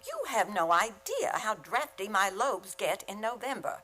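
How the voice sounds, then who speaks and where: A woman's voice: medium pitch, big pitch swings, measured pace, sharp tone, faint music behind, heavily acted. A woman playing a lovelorn, wisecracking schoolteacher in a comedic radio play, with dry, sardonic delivery.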